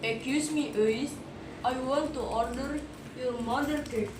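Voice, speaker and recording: Woman playing a high-pitched, pious neighbour lady. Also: measured pace. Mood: polite. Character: kind, pious, scolding female neighbour. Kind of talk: amateur puppet show acting. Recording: distant mic, echoey noisy room.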